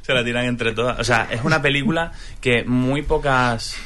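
A man's voice: medium pitch, moderate pace, pushed and bright. A man from in a casual film discussion, opinionated and fond of rhetorical questions. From Spain. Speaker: podcast panelist.

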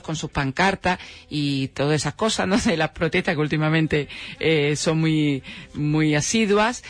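Creaky-voiced man with a Spain accent. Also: a little high, dry recording. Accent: Spain Spanish. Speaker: radio show contributor. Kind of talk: semi-scripted radio commentary in conversation.

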